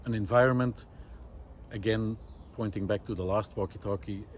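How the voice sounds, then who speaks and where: A man's voice: lowish voice, fairly even intonation, moderate pace, slight background noise. A man giving an unscripted, reflective monologue outdoors in a wooded park, speaking in a thoughtful, hesitant way. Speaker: vlogger.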